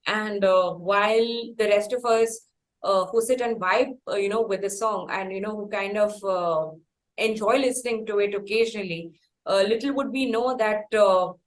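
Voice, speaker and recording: A woman with an Indian English accent hosting a conversational interview, effusive and wordy, with halting phrasing. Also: medium pitch. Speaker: podcast host interviewing musicians.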